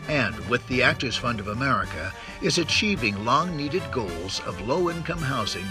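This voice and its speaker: A male narrator delivers a scripted read with very lively, varied intonation. He sounds slightly removed from the microphone, with clear music playing behind him. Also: medium pitch, far from the mic.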